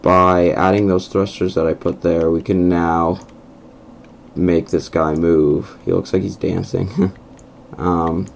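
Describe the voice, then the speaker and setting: A young man with a croaky voice narrating a casual game walkthrough over his screen recording, hesitant and full of ums. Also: low voice, flat delivery, slow, slight background noise. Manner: hesitant, many ums and restarts. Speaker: gameplay video host. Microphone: computer microphone over screen recording.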